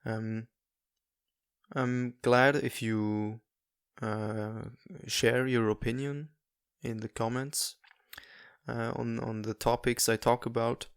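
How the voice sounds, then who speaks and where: A man's voice: medium pitch, little pitch movement, smooth, no echo, studio quality. A young man from Switzerland, speaking English as a second language, talks through his podcast alone and stops often for fillers and restarts. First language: not English. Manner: halting, many fillers and restarts. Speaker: podcast host. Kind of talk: casual solo monologue.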